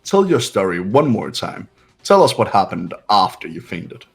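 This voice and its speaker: A man's voice: deep voice, very animated, moderate pace, creaky, slightly rough, faint music behind, heavily acted. Man doing a haughty cold young heir. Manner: cold, condescending. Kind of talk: fan voice acting of a game.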